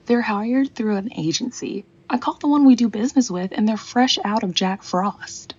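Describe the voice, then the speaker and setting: A woman's voice: a little high, moderate pace, a little mellow, lo-fi sound, close to an everyday voice. A woman playing an anxious, earnest, pleading department store employee in a comedic audio drama. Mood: worried.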